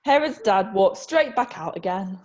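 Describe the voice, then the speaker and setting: A woman's voice: mid-range voice, lively intonation, normal speed, a little mellow, dull audio. A woman reading the stage directions aloud in a plain, matter-of-fact way at an amateur online play reading.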